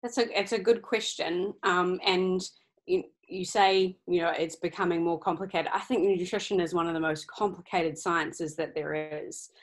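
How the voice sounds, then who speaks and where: A woman from New Zealand with a New Zealand accent, in her thirties, talks through her research on a podcast. Her voice sits on the low side, and she speaks in a thoughtful, unhurried way, with plenty of ums and small restarts. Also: fairly even intonation. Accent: New Zealand, slightly Australian-tinged. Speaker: research scientist guest. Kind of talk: casual podcast interview.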